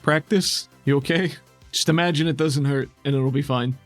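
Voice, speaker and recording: Game character: relaxed, gently teasing male mentor played by a man, casual folksy talk, music underneath. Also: a little high, moderate pace, no echo, natural voice. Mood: easygoing, reassuring. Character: laid-back, teasing male guide inside a woman's mind.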